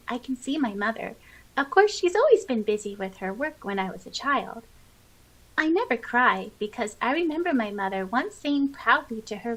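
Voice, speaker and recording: A woman's voice: fairly high pitch, a bit bright, pitched above the performer's own voice, poor audio. Woman voicing a lonely, stoic girl. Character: lonely, stoic girl confiding about her absent father.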